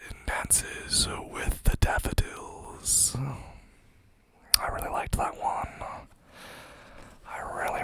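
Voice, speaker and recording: An ASMR host whispering poems into a close mic, reading slowly with chatty asides, voice a little hoarse. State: slightly hoarse, losing voice.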